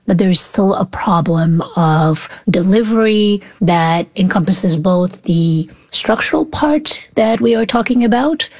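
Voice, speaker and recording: A woman from Morocco, speaking as an expert guest and explaining policy in a measured, analytical way with frequent pauses. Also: on the low side, a little mellow, dry recording. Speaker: expert guest, think tank senior fellow.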